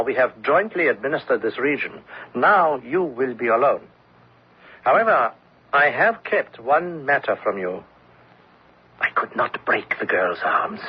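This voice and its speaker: A thoughtful army lieutenant with a troubled conscience in an old radio play. He reasons earnestly in a very husky voice with lively rises and falls. The sound is muffled and lo-fi. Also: mid-range voice. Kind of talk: old-time radio drama acting. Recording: dull lo-fi radio broadcast recording.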